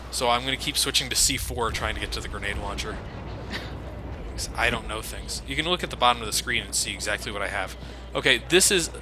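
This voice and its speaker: A man commentates casually on a video game at an ordinary male pitch. He is sarcastic and self-mocking and swears freely. Game battle noise runs clearly behind his voice. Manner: sarcastic, self-deprecating, profane. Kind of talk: casual let's play commentary. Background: video game gunfire and vehicles.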